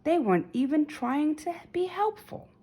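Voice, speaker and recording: A woman's voice: high-pitched, very animated, normal speed, warm tone. A woman reading a children's storybook aloud as narrator, in a dramatic, emphatic storytelling manner. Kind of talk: expressive children's read-aloud.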